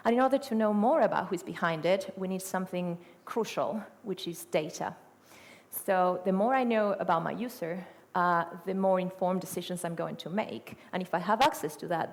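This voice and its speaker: A woman's voice: lowish voice, a little fast. A middle-aged woman from Argentina with an Argentine Spanish accent, a native Spanish speaker, giving a conference presentation full of fillers, self-corrections and rhetorical questions. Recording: reverberant hall.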